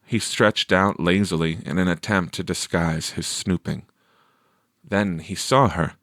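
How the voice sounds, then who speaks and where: A man narrates a story in a fairly low voice. His delivery is slow and measured, like a scripted audiobook, and the sound is dry. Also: fairly even intonation, fairly bright tone, no echo. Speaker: audiobook reader.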